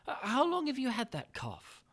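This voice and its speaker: A man's voice: extremely high pitch, wildly swooping pitch, normal speed, fairly bright tone, voice pushed up, full character voice. A man voicing a scheming nobleman in a Gothic tale, coaxing and fawning with feigned, reluctant concern. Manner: coaxing, solicitous, falsely reluctant. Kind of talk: dramatic Gothic tale reading.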